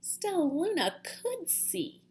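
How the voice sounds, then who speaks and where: A woman reading a children's storybook aloud like a teacher, in an expressive narrating style. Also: very high voice, takes it slowly, vocal fry, slight background noise.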